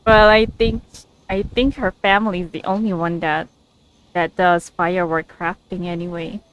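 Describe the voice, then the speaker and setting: A woman's voice: lowish voice, fairly even intonation, normal speed, smooth, poor audio. A woman giving casual gameplay commentary as a streamer, with a giggly way of talking. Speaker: game streamer.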